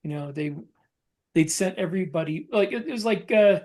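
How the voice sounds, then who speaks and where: A man co-hosting a podcast, talking casually and haltingly as he pieces thoughts together. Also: high voice, expressive, a little mellow. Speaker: podcast co-host.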